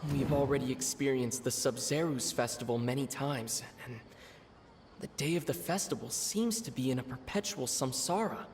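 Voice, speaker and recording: A man playing a thoughtful young traveler in game dialogue, reasoning in a deliberate way with pauses. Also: mid-range voice, darkish tone, natural voice. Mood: pondering. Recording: long echo, heavy ambient noise.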